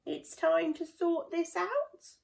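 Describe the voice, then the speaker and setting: A woman voicing a cautious, kind animal friend in a children's storybook, speaking carefully and gently. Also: very high-pitched, slow, creaky, far from the mic, pitched above the performer's own voice, exaggerated voice.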